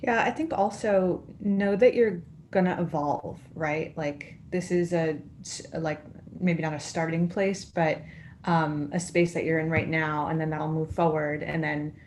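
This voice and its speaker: A woman's voice: sits low, fairly even intonation, measured pace, darkish tone, a little hiss or hum. A woman talking casually and thoughtfully on a panel, qualifying her points as she goes. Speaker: producer and dramaturg, guest panelist.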